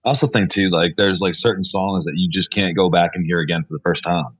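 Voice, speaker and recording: Low-voiced easygoing young man. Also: fairly even intonation, quick pace, breathy edge, dry recording. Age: young adult. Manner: easygoing, lots of likes and you-knows. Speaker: country musician guest. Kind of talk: casual chat.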